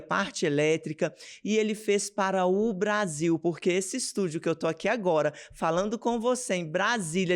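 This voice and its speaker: A woman from Minas Gerais, Brazil, hosts a devotional podcast from her home studio. Her voice is smooth and resonant. She talks to her listeners as if they were close friends, and she leads them in prayer. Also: lowish voice. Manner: chatty, addresses listeners directly as girls and friends.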